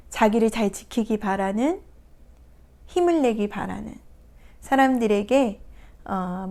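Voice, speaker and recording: A woman counselor giving a reflective talk, retelling a picture book in a gentle, unhurried way with soft hesitations. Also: medium pitch. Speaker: counselor and coach. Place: recording her first video talk.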